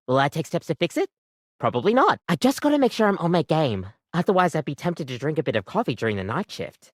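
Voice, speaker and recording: A woman's voice: deep voice, no room sound, clean studio sound. A woman voicing an overworked, apologetic barista in a video game, chatty, rambling and self-deprecating, with a weary devotion to the job. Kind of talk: video game character acting, conversational.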